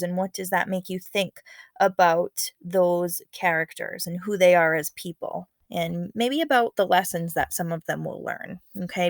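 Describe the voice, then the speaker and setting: A woman's voice: mid-range voice, no room sound. Woman teacher reading aloud, dry close sound. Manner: instructive, checks in with okay and all right. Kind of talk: expressive read-aloud with casual teaching asides.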